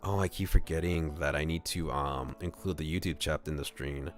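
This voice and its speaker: A man casually chatting on a livestream and reading a visual novel aloud in a rambling, halting way. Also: a bass voice, faint music behind.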